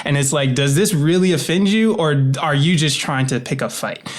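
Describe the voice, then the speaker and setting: A man's voice: on the high side, expressive, normal speed. A man speaking in an informal, reflective way as a podcast guest and producer, in a conversational style. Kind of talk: conversational interview and listener address.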